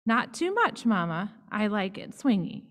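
A woman's voice: mid-range voice, big pitch swings, a little slow, a bit bright, long reverb tail. A woman voicing a stubborn little girl who is an older sister, talking in a pleading, insistent way.

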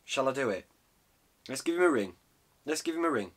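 A man's voice: mid-range voice, animated, a little fast. A British man in his thirties chatting casually and jokily with a mate, indoors in a small cabin. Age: 30s. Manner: jokey, matey. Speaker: vlogger interviewing a friend by phone. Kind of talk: casual chat, banter.

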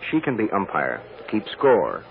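An actor playing a playful, authoritative defense lawyer and boss in an old-time radio drama, with mock-stern banter. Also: male voice, on the low side, wildly swooping pitch, moderate pace, creaky, exaggerated voice. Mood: confiding. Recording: dull lo-fi old radio recording.

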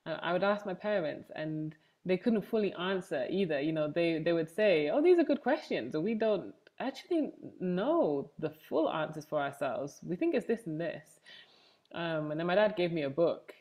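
A woman's voice: on the low side, expressive, moderate pace, darkish tone. A young woman with an English accent, giving a conversational personal account as an interview guest on a video call. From Manchester, England. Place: room at home, indoors, on a video call. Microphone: wired earphones on a video call.

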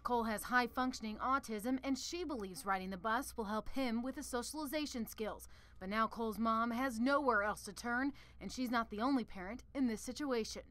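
High-pitched woman reporting the news. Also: brisk, a bit bright, dry recording. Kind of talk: scripted news narration.

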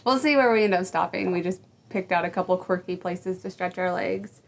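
A woman's voice: lowish voice, fairly quick, creaky. Casual woman vlogger in her thirties. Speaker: travel vlogger. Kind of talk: casual chat. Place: outdoors at roadside stops and inside a camper.

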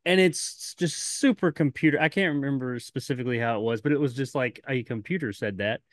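A man's voice: medium pitch, brisk, dry recording. Laid-back rambling man, dry mic. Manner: rambling, laughs often, swears casually. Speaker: podcast co-host. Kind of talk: casual podcast chat.

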